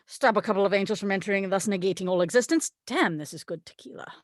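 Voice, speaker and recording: A woman's voice: medium pitch, quick pace, no room sound, clean studio sound, close to an everyday voice. A woman reading the part of a haughty, sarcastic angel in a script table read, with a wry, exasperated, condescending manner. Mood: dry, exasperated. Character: haughty, sarcastic tequila-loving angel, the voice of God.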